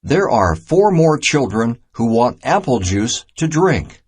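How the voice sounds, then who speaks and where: A man reading a children's math storybook aloud in a deliberate, simple teaching manner. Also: medium pitch, very animated, breathy edge. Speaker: narrator of a children's math reader.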